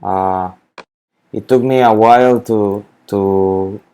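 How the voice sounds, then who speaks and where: A man talks through a software tutorial at an unhurried pace, stumbling and repeating words as he searches for them. His voice is low and mellow. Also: steady tone, smooth. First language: Spanish. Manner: halting, repeats words, many fillers. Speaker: spreadsheet tutorial maker. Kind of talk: screen-recorded software walkthrough.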